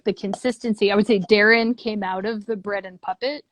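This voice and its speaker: A woman's voice: mid-range voice, fairly even intonation, fairly quick, no room sound. A woman speaking casually as an interview guest, earnest, thinking aloud in long, looping phrases. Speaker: guest, puppet theater leader.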